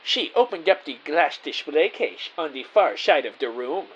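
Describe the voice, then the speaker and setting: Audio drama lead: man acting a naive, excitable detective narrator, theatrical and earnest, husky mid-range voice with big pitch swings. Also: moderate pace, dull audio. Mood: earnest narrating. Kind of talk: first-person scripted audio drama narration.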